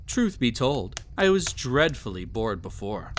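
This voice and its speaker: Male actor as a cruel possessive emperor, slow drawn-out delivery, swooping intonation, haughty, silky, mocking, roleplay audio. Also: medium pitch, big pitch swings, slight background noise. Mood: amused, condescending. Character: cruel, possessive, mocking young emperor pampering a captive pet. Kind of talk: scripted roleplay audio drama, addressed to listener.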